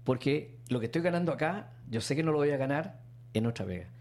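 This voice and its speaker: Chilean man, middle-aged radio host, casual chat, lively storytelling, voices jump up when quoting people. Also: fast talker. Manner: storytelling, acts out quotes with animated pitch jumps.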